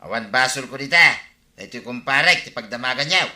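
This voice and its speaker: A man's voice: mid-range voice, expressive, fairly quick, sharp tone. A man playing a stubborn, brawling villager who protests animatedly in an Ilocano comedy radio drama. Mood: protesting. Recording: echoey room sound.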